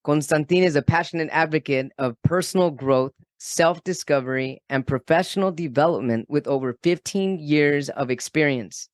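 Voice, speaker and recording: A man's voice: a little high, moderate pace, few pauses, no echo, clean studio sound. A man hosting a motivational podcast, enthusiastic and affirming as he welcomes and questions his guest. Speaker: podcast host.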